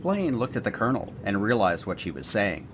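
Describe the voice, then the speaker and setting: A man playing a formal bunker tour guide and storyteller, narrating a scripted podcast drama in a measured, theatrical manner. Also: fairly low pitch, big pitch swings, heavy background noise. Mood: grave storytelling. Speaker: host and narrator.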